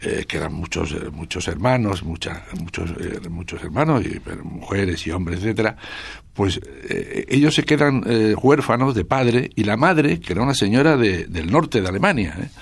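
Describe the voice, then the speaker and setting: An older man from Spain talks through a radio interview, thinking aloud and restarting his phrases often. His voice sits very low, and the sound is close and dry with no room to it. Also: lively intonation, normal speed, gravelly, breathy edge, no echo. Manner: thoughtful, hesitant, many restarts. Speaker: guest author.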